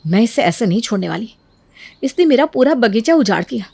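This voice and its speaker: Vengeful elder sister-in-law , played by a woman, fairly high voice, dry sound, bitter and taunting, cartoon family drama. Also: big pitch swings, brisk, vocal fry, a little mellow, slightly rough, no echo, full character voice. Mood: vengeful, scheming. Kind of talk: animated family story dialogue.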